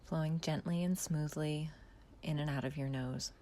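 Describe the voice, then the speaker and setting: A woman calmly guiding a body scan meditation, speaking slowly and softly with long pauses and a half-whispered delivery. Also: low-pitched. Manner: slow, soft, calm instructions with long pauses, often half-whispered. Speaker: mindfulness guide.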